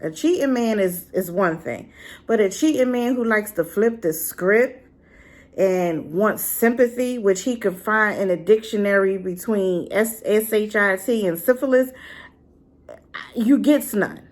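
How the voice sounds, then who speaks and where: Sassy, animated woman reviewing. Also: medium pitch, a little slow. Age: adult, been married and divorced. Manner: animated, sassy, talks straight to viewers. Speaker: drama reviewer. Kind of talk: casual review chat.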